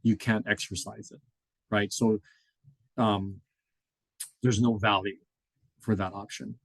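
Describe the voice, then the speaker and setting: The middle-aged American man you would hear leading an informal introductory class from slides, his lowish voice captured close and dry as he explains and checks that everyone follows. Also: little pitch movement, slow pace, dry recording, poor audio. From Hawaii, United States. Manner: explanatory, checks in with tag questions like "right?". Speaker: instructor, experienced options trader.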